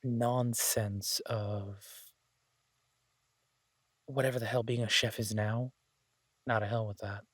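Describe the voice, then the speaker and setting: A man playing a laid-back, idealistic chef in an audio roleplay, talking casually with hesitant pauses and mild swearing. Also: lowish voice, fairly even intonation, dry recording. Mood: frank, reflective. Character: laid-back, idealistic male chef, devoted to honest food. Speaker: chef and restaurant owner character in an audio roleplay. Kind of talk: intimate conversational audio roleplay.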